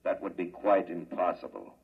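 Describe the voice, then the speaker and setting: An actor playing a smug, calmly sinister mastermind, suave and condescending over a loudspeaker, in 1950s radio drama. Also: male voice, low voice, moderate pace, vocal fry, breathy edge, exaggerated voice. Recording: dull, lo-fi old radio recording.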